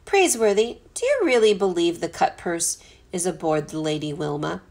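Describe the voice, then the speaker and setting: Plucky storybook lad female reader, loyal and determined, animated and youthful in delivery. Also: fairly low pitch, normal speed. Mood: eager. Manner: polite, eager, determined. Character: earnest, plucky, loyal young boy stowaway.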